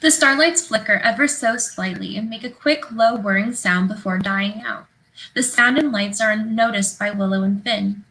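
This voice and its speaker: A woman's voice: medium pitch, moderate pace, bright, forceful tone. A woman reading stage directions as scripted narration for a play. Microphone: video call. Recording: noisy livestream call audio.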